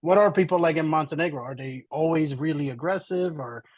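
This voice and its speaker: A man's voice: on the high side, a little fast, no echo, studio quality. A man from Slovenia hosting a podcast, upbeat and encouraging in casual interview talk. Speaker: podcast host.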